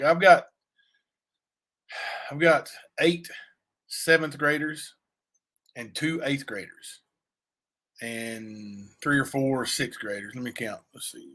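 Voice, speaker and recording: Raspy-voiced man chatting on a livestream. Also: medium pitch, takes it slowly, long pauses, fairly bright tone. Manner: rambling, many fillers and asides to chat. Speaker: livestream cohost; youth basketball coach. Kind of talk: casual livestream chat.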